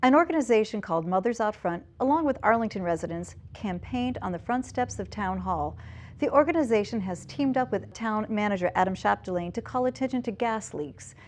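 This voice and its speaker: A woman's voice: medium pitch, lively intonation, brisk, slight background noise. A woman with an American accent reads scripted local news as an anchor.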